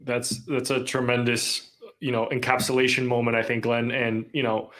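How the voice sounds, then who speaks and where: American guy podcast host, medium pitch, earnest and kinda wordy. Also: little pitch movement, normal speed, a bit bright. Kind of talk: conversational podcast hosting.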